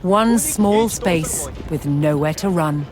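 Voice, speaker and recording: A woman delivering scripted historical documentary narration in a measured, dramatic storytelling manner with deliberate pauses. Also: deep voice. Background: background music.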